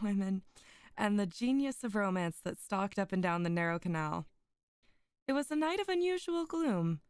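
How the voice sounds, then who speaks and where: A young woman streamer, a little hoarse, chatting with viewers and then reading classic prose aloud with giggles and small stumbles. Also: mid-range voice, no echo, studio quality. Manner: giggly, chatty, stumbles over foreign words. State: slightly rough, hoarse voice. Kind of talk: casual chat and literary reading aloud.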